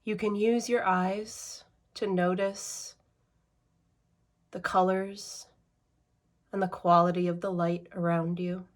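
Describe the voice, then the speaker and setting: A woman with a fairly low voice leads a guided meditation. She speaks very slowly, in short measured phrases, and leaves long pauses between them. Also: flat delivery.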